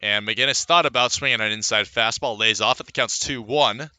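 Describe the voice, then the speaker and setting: Bright, forceful male baseball announcer. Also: mid-range voice, moderate pace, no room sound. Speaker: baseball play-by-play radio announcer. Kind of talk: live sports commentary.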